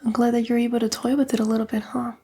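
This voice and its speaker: A woman's voice: fairly high pitch, little pitch movement, racing, noisy background, lightly acted. Whispering sly female demon, acted by a woman. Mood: coaxing, teasing. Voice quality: whispered, breathy. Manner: coaxing, taunting, casual. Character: female demon, sly fallen angel tempting the listener. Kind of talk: ASMR roleplay.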